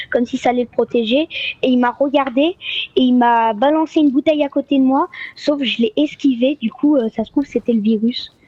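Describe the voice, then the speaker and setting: A woman's voice: on the high side, mellow, no echo, highs cut off. A woman improvising a game roleplay, telling things in a rambling way. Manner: rambling storytelling. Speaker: roleplay player.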